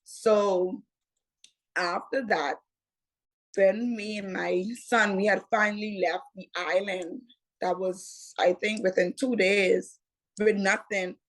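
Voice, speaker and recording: Slow-talking Bahamian woman in her twenties. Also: mid-range voice, fairly even intonation, poor audio. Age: 20s. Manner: unhurried, repeats "what had happened was". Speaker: interview guest, entrepreneur. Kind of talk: casual interview storytelling.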